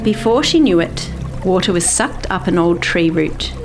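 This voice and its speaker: A woman reads a children's story in the role of storyteller. Her voice sits a little low, and faint music runs behind the narration. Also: lively intonation, moderate pace. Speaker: author and storyteller.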